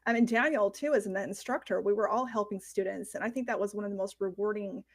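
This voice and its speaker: A woman's voice: mid-range voice, fast talker. A woman co-hosting an interview, chatting in an encouraging, friendly way. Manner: encouraging, chatty, warm praise of guest. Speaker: co-host. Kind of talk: casual interview chat.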